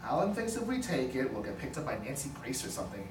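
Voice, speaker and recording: A man's voice: mid-range voice, sing-song, quick pace, music in the background, close to an everyday voice. A man playing a defensive, spiteful lawyer with a sarcastic, profane manner in a comedic script read. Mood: exasperated. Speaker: actor at a script table read. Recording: roomy, distant mic, faint noise.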